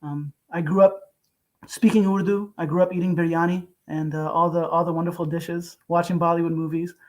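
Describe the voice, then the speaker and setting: A young man, a co-author on a panel, who answers questions in a measured and reflective way. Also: on the high side, flat delivery, darkish tone. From United States. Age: young adult. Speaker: co-author, guest.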